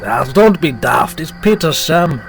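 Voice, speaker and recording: A man's voice: fairly high pitch, lively intonation, measured pace, creaky, over loud music. A man playing a brusque, teasing train driver in an audio drama, matter-of-fact in manner. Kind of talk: audio drama character acting.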